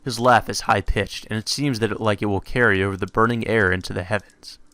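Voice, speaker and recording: Bright-voiced low man reading a visual novel. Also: steady tone, a little fast, dry recording. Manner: irreverent, swearing asides. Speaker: let's play host reading a visual novel aloud. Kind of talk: narrating a visual novel with crude off-the-cuff commentary.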